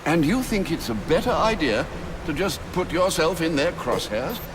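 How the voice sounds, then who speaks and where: Lively, wry British butler. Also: male voice, on the high side, normal speed, background noise. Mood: worried, reproving. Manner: sardonic, formal, wry. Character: dry-witted, fretful, loyal older male butler. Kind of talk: video game cutscene acting.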